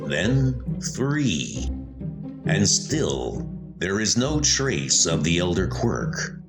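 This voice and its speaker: A man's voice: deep voice, sing-song, extremely slow, gravelly, over music. A man giving dramatic scripted narration of a suspense story.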